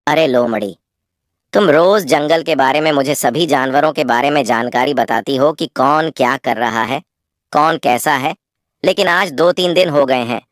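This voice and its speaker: A caring male lion king of the jungle, voiced by a woman for an animal cartoon. His voice is low and he speaks with earnest, stately dignity. The recording is dry and close. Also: moderate pace, dry recording. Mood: concerned, kindly. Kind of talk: children's animal moral-story cartoon.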